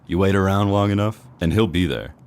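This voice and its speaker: Snowman hitman, played by a man: extremely low voice, menacing, formal gangster delivery for a noir comedy audio drama. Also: brisk, a little mellow, natural voice. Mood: grudging confession. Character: menacing snowman mob hitman, turning fearful when cornered.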